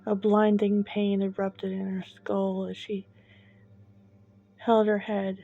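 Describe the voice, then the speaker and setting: A woman narrating a dramatic story in a suspenseful, halting way, leaving long pauses between short phrases. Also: mid-range voice, monotone, gravelly, dark tone, highs cut off, faint music behind. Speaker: storyteller, host.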